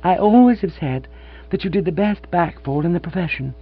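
A man voicing an aging former stage actress, a reserved grande dame who turns gushing and nostalgic, with a husky voice on a dull, humming recording. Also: fairly low pitch, expressive, brisk, voice pushed up. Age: older. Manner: quiet, emotional, gushing with nostalgia. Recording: dull lo-fi recording with mains hum.

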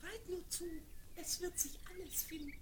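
A woman's voice: very high voice, normal speed. A woman playing a small, kindly, knowing animal guide in a 1970s children's fairy-tale radio play. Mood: kindly advising. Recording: very noisy vinyl, slightly distant.

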